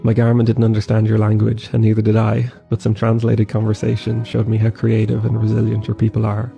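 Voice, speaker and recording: A man's voice: lowish voice, completely flat delivery, a little fast, gravelly. A man reading a wry, scripted travel narration addressed to a country. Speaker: travel vlogger. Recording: dull lo-fi voice-over with faint music.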